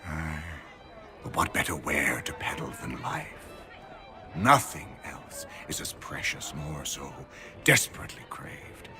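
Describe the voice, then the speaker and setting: An actor playing a cynical, mercenary colonial physician in a video game, arguing in a theatrical, emphatic, rhetorical way. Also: male voice, rumbling low, sing-song, unhurried, bright, forceful tone, soft background music, heavily acted. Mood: sly, frank. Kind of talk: video game cutscene acting.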